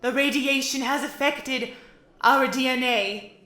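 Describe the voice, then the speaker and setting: A woman playing a solemn female pony leader in an audio drama, making a halting, grave address. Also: high-pitched, pushed and bright. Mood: grave, regretful. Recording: echoey hall with crowd.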